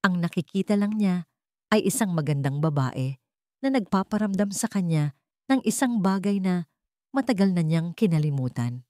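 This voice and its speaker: A woman narrating a romantic drama in a calm, measured storytelling manner. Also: lowish voice, no echo, studio quality.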